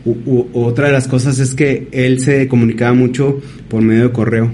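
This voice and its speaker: A man, a painter as guest, telling stories in a thoughtful, halting way. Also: medium pitch, flat delivery, mellow, slight background noise. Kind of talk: casual interview storytelling.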